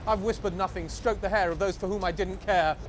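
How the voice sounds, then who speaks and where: Male performance poet, high voice, theatrical and deliberate in rhymed verse, clear noise behind him. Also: fairly even intonation. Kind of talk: reciting rhyming poetry.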